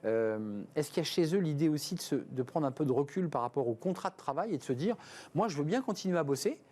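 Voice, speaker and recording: A man's voice: a little high, lively intonation, fast, darkish tone. A man with a French accent from France hosting a conversational interview, probing and often chiming in with agreement. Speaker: interviewer and programme host.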